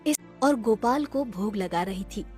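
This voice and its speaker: A woman's voice: medium pitch, lively intonation, measured pace, a little mellow, soft background music. A woman narrating a scripted children's story.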